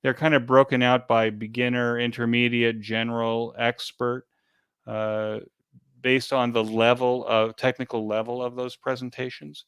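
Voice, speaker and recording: Guy with a smooth clear voice walking thru instructions, repeats himself a bit, really dry close sound. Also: medium pitch, flat delivery, fairly bright tone, no room sound. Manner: halting, repetitive, explanatory. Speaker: event organizer being interviewed. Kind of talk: informal step-by-step explanation.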